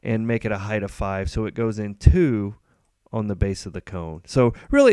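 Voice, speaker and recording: A man hosting a casual screen-recorded coding tutorial, relaxed and rambling with frequent ums and uhs. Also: lowish voice, clean tone, no echo, studio quality. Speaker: host.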